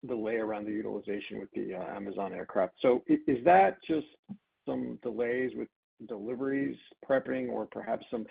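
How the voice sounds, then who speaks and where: A man asking questions as an analyst on an earnings call, halting and piling up clauses over a phone line. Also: medium pitch, vocal fry, slightly rough. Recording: narrow, tinny phone line.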